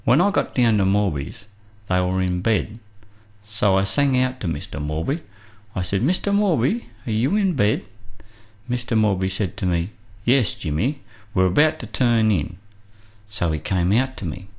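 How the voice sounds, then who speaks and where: A male actor plays an aggrieved man on trial in a radio play. He recounts his story in plain, colloquial words, like someone unschooled setting out his own side. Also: fairly low pitch. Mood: plain recounting.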